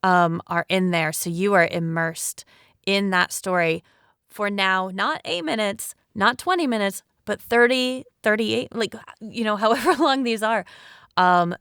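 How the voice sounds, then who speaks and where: A woman with a smooth, clear voice at an average pitch chats informally on a podcast. She is talkative and loose in her phrasing, and the recording is dry. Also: unhurried, a bit bright, no room sound. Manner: chatty, frequent "like" and "you know". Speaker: cast member on a podcast panel. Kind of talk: casual chat.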